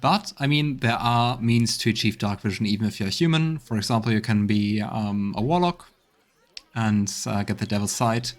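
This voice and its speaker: A man's voice: lowish voice, normal speed. A man giving casual let's play commentary, thinking aloud as he goes. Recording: faint game music behind.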